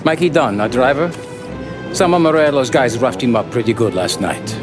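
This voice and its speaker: Man playing american mob advisor, mid pitched, firm n businesslike, music behind him. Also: normal speed, lightly acted. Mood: measured, grave. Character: firm, businesslike male mob consigliere giving orders. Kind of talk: naturalistic cinematic game acting.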